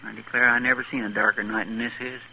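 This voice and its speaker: A man's voice: mid-range voice, little pitch movement, fast, creaky, pushed and bright. A man playing a loyal, folksy deputy with a drawling rural American accent in a western radio drama. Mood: dry, unimpressed. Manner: drawling, homespun, deferential to his boss. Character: folksy, loyal, plain-spoken marshal's deputy sidekick. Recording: noisy vintage radio recording.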